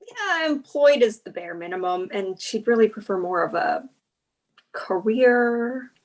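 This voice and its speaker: A woman's voice: medium pitch, animated, measured pace. A woman playing a candid, exasperated young girlfriend with a wry manner in a comedy script table read. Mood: sheepish.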